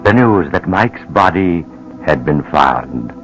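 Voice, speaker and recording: An extremely deep-voiced narrator telling a vintage radio thriller. His delivery is grave, ominous and deliberate, with faint music behind the words. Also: animated, fairly bright tone, exaggerated voice, poor audio. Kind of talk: dramatic old-time radio scripted narration.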